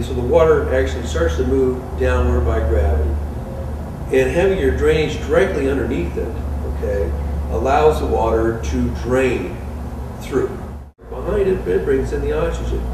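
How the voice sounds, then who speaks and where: Male, fifties, hesitant technical explainer, informal, off-mic sound with noticeable background noise. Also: mid-range voice. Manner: halting, hesitant delivery. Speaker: sports turf manager. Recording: distant, roomy sound with clear background noise.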